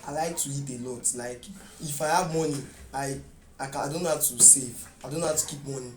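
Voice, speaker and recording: A young man with a Nigerian accent, speaking softly and stumbling over words as he remembers. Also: mid-range voice, fairly even intonation, fairly quick, background noise. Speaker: interviewee. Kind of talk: reflective interview answers. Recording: roomy echo, loud music behind.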